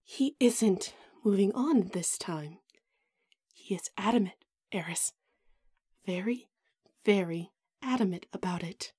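A woman's voice: medium pitch, slow, long pauses, mellow, husky, no room sound, clean studio sound. A woman playing a vain, haughty goddess in an audio roleplay drama, melodramatic and put-upon with a whiny edge. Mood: resigned.

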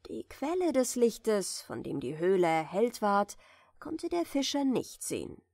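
A woman softly reading a bedtime fairy tale in a gentle, soothing manner, at times close to a whisper. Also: mid-range voice, very animated, measured pace, no room sound. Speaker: audiobook reader, storyteller.

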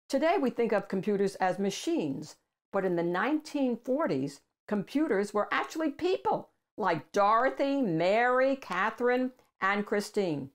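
An older woman reads a picture book aloud as a host for children, with deliberate, emphatic phrasing. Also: mid-range voice. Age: older, 60s or above. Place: small room at home with bookshelves.

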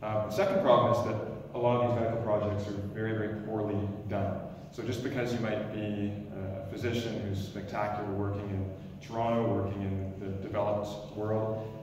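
A man in his thirties, an emergency doctor, giving a loud, energetic startup pitch in a lecture hall with frequent restarts. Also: slight background noise. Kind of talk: pitch presentation. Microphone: stand mic, recorded from far camera. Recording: echoey lecture hall from far off.

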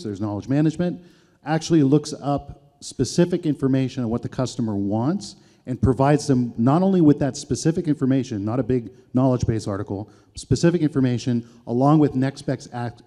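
A man's voice: medium pitch, mellow. A man on a conference panel, explaining ideas patiently through simple examples. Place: conference stage with audience. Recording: reverberant event hall.

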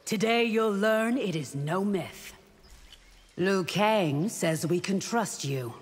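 A woman's voice: medium pitch, animated, slow pace, husky. A woman playing a proud, guarded royal warrior in a fighting game, formal and confident. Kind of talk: dramatic fighting game dialogue. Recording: reverberant hall-like game mix.

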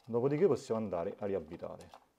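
Man with a muted, mellow voice. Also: lowish voice, expressive, fairly quick, creaky, slightly rough. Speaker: host of a DIY smart-home channel. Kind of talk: hands-on tutorial narration to camera. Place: small indoor room at home.

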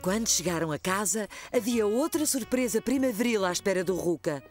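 A woman's voice: medium pitch, animated, fairly quick, soft background music. A woman narrating a children's story from a script, with a European Portuguese accent.

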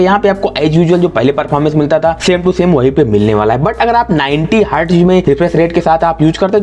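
Fast-talking Indian man reviewing gadgets. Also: a little high, darkish tone. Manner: habitually mixes English tech terms into speech. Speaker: tech review YouTuber, channel host. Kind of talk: scripted gadget review voice-over.

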